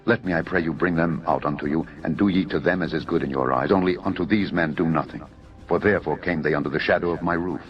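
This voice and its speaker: Pleading city householder, man reading the role, deep, earnest, deferential, muffled scripture audio drama. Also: quick pace. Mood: anxious pleading. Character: anxious, hospitable, pleading male householder of ancient city. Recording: dull narrow audio over music.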